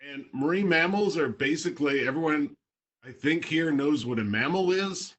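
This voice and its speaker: A man's voice: a little high, expressive, normal speed, fairly bright tone. A man from Toronto, Canada, a marine biologist talking casually on a video call, explaining in plain words with a few fillers.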